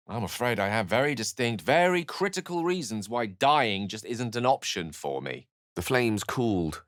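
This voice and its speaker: A man playing an eloquent, courteous wizard in a video game, articulate and literary in his measured delivery. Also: low voice, a bit bright, dry recording, studio quality. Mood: wry, courteous. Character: eloquent, courteous, bookish male human wizard, a wry adventurer. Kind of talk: video game character lines and narration.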